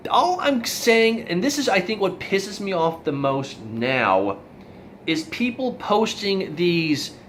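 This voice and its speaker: A man's voice: high voice, a bit bright, slight background noise. A young man ranting in a blunt, rambling monologue about online reactions, exasperated and plain-spoken. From Florida. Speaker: vlog host.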